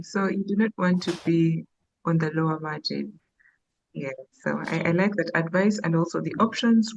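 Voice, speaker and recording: A woman's voice: on the low side, darkish tone, a little hiss or hum. A Ugandan woman co-hosting a live podcast, chatting casually and laughing easily. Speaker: podcast co-host. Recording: dull lo-fi online call audio.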